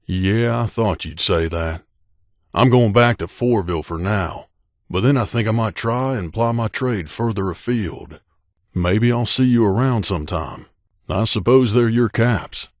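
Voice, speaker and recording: A man playing a grizzled, guarded veteran mercenary in a video game, with a gruff, curt, world-weary manner. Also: lowish voice, unhurried, no room sound, studio quality, natural voice. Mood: guarded, softening. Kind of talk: video game companion dialogue.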